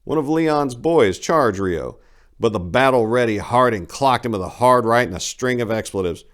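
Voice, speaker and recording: A man's voice: mid-range voice, expressive, moderate pace, a bit bright. A man giving a theatrical, emphatic dramatic reading of a short story as narrator.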